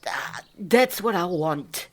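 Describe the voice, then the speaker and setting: A woman's voice: on the low side, animated, takes it slowly, fairly bright tone, exaggerated voice. An actress playing an ageing, down-and-out South African woman, feisty and lonely, rambling and muttering to herself in a radio play. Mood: grumbling, defiant. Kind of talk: dramatic monologue in a radio play.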